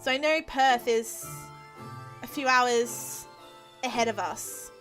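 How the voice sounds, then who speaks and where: A woman's voice: on the high side, takes it slowly, a bit bright, soft background music. A young woman chatting casually while she streams a game, with lots of ums and easy laughs. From Australia. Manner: chatty, many ums and restarts, laughs easily. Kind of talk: casual live-stream chat while playing.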